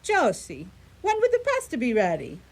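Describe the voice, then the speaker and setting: A woman playing a bossy, excitable Italian mother in a radio play, full of exclamations. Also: sits high, normal speed, exaggerated voice. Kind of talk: community radio theatre acting.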